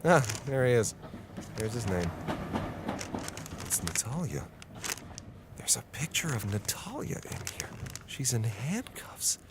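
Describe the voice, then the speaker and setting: Worked-up american reporter, radio drama, urgent n breathless, bit of background noise. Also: male voice, mid-range voice, big pitch swings, takes it slowly, long pauses. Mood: puzzled, uneasy. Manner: breathless, talks to himself, urgent. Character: anguished, indignant American reporter abroad, a man. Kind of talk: radio drama acting with first-person narration.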